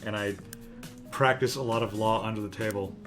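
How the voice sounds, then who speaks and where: A man's voice: lowish voice, steady tone, a little fast, music in the background. A young man with a Californian accent co-hosting a live stream, talking in a rambling, opinionated way with casual swearing. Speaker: streamer co-host.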